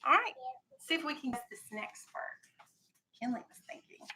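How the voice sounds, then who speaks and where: A woman's voice: high-pitched, big pitch swings, normal speed, bright, forceful tone, slight background noise. An American woman teaching a vocabulary lesson to young children in a classroom, instructing and prompting with questions and directions. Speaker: elementary school teacher.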